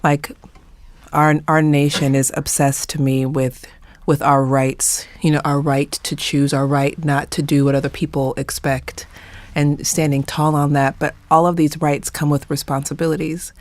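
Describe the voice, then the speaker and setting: A woman speaking as a panelist in an earnest, reflective discussion, emphatic and deliberate, repeating phrases for weight. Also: deep voice, little pitch movement, darkish tone, no room sound.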